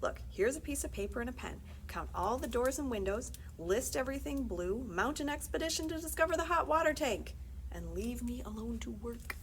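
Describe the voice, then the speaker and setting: A woman's voice: a little high, expressive, brisk, a little hiss or hum, voice pushed up. A woman reading the part of a preoccupied, dismissive father in a storybook, curt and distracted. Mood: impatient.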